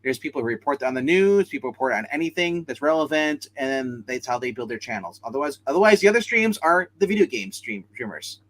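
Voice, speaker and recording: A man's voice: medium pitch, lively intonation, fast talker, hardly any pauses, rough recording. A man co-hosting a casual livestream, talking about pop culture in a rambling, informal way. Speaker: livestream co-host.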